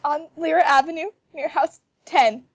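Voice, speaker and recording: Woman as excitable, bashful teen girl, high-pitched, amateur comic table read, no room sound. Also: normal speed, no room sound, muffled recording, natural voice. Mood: upset, breathless. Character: bashful, excitable teenage schoolgirl.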